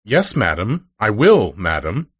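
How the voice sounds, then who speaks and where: A young kitchen maid, eager to please and quick to stammer, as a male narrator puts her on. Her voice swings up lightly and brightly, and it stays dry and close to the mic. This is a storybook reading. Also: lowish voice, wildly swooping pitch, breathy edge, no echo, heavily acted. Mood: flustered. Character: young servant girl, cheerful but timid.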